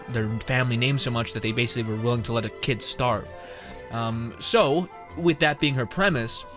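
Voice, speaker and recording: A man streaming a game, giving casual, rambling commentary and reacting aloud as he plays. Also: medium pitch.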